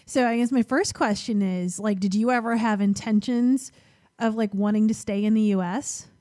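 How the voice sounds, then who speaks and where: A woman's voice: mid-range voice, steady tone, moderate pace, dark tone, no room sound, clean studio sound. Casual, enthusiastic woman podcast host. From Illinois, United States. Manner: informal, enthusiastic. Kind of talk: casual chatty interview.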